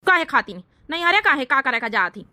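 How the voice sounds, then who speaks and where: A woman puts on an exasperated village husband from a cartoon comedy, high-pitched and sharp in tone. The figure scolds, argues and grumbles in an Awadhi accent, recorded close and dry. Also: big pitch swings, fast talker, dry recording, exaggerated voice. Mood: grumbling, alarmed. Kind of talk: exaggerated cartoon comedy dialogue.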